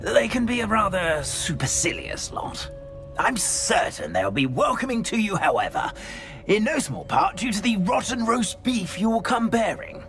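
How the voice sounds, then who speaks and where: Wildly animated, courtly ghost. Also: male voice, sits high, normal speed, soft background music. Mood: apologetic, eager. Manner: polite, flowery, hesitant with nervous stammers. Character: genial, flustered, courtly old gentleman ghost. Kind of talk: video game character acting.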